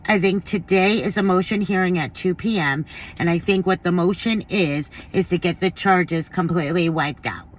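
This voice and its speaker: A woman's voice: lowish voice, a bit bright, rough recording. A woman giving casual opinion commentary, thinking aloud in a blunt, informal, slangy way. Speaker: commentary vlogger.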